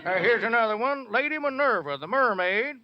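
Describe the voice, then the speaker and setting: An actor playing a pompous, smooth-talking small-town squire and swindler in an old-time radio comedy, wheedling and wordy. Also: male voice, extremely high pitch, lively intonation, brisk, some chatter behind. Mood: grand salesmanship. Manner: wheedling, wordy, grandiose showman's patter. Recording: muffled old radio recording with hiss.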